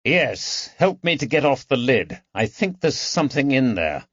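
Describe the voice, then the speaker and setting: Man voicing a grave, deliberate detective, dull recording. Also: medium pitch, sing-song, a bit bright, dry recording, voice pushed up. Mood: intent. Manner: deliberate, commanding. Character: grave, methodical male period detective.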